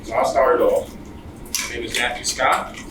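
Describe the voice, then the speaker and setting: A man's voice: medium pitch, level tone, normal speed. A man from Brooklyn hosting an event, casually asking a room of people to introduce themselves. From Brooklyn, New York. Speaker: co-host of a panel event. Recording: noisy echoey room, distant mic.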